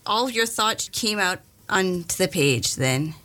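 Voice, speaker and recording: A woman's voice: lowish voice, expressive, measured pace, sharp tone. A woman interviewing a young writer, asking encouraging questions and voicing her admiration.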